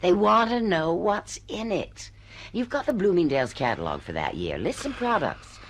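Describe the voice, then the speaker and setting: An actress playing a shrewd, domineering woman editor in a radio drama, with an emphatic, brisk, bossy manner. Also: on the low side, sing-song. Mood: bossy, instructing. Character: brash, domineering female book editor, shrewd and pushy.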